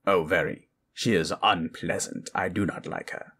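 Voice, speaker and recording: A man voicing a measured, knowing sage with a formal, dry manner in an improvised fantasy roleplay. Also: low-pitched, fairly even intonation, a bit bright.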